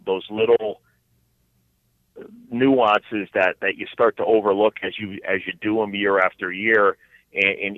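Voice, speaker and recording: A man explaining his work as an interview guest in a casual, halting way, restarting his phrases again and again. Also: lowish voice, dry recording. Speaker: podcast guest, financial advisor. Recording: thin phone-like line, dry.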